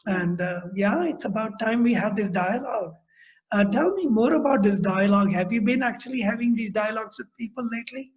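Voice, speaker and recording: A middle-aged man with an Indian accent, interviewing a guest in a thoughtful, explanatory way over a video call from his home. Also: sits high. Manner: thoughtful, explanatory, speaks in long measured phrases. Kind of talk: reflective conversation. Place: room at home. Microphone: laptop mic on a video call. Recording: dull lo-fi video call audio.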